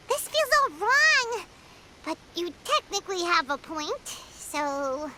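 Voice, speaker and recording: A woman voicing a small, chirpy fairy companion in a video game, excitable and outspoken. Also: very high voice, slow, heavy background noise, full character voice. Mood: grudging. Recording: studio voice with loud game music.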